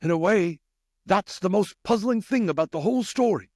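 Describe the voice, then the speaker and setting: A man voicing an earnest, commanding older rat leader for an audiobook, with a measured, questioning delivery. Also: high voice, expressive, darkish tone, no echo, clean studio sound. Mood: pondering. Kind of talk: audiobook character voice.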